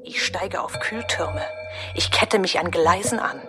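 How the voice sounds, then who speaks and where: A woman's voice: lowish voice, a little slow. An actress playing a fearful young woman protester, speaking urgently in an anxious, half-whispered radio play monologue. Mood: determined. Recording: studio radio play with music.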